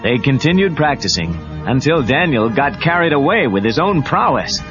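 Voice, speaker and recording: Lively male storybook narrator over music. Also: mid-range voice, normal speed. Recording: dull cassette tape under music.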